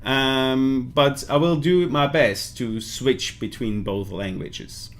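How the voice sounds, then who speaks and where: Male host with Swiss German accent, hesitant and chatty, mid-pitched. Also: takes it slowly. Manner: hesitant, many fillers and restarts. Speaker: livestream host. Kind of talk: bilingual live stream talk.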